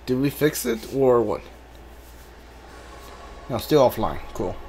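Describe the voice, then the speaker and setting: Man, game streamer, animated intonation, casual commentary, talks back to game, slight background noise. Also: mid-range voice, takes it slowly.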